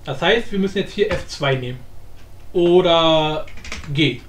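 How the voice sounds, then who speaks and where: A man with a high voice walks through software in a casual, unscripted way. He thinks aloud and often repeats himself. The room sounds echoey, with faint noise behind him. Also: unhurried. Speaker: tutorial host. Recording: roomy echo with faint background noise.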